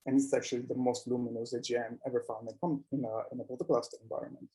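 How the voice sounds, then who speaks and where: Male scientist presenting findings: soft-edged dark tone, average pitch, somewhat hesitant phrasing. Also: level tone, rapid-fire, rough recording. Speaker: researcher presenting at a conference.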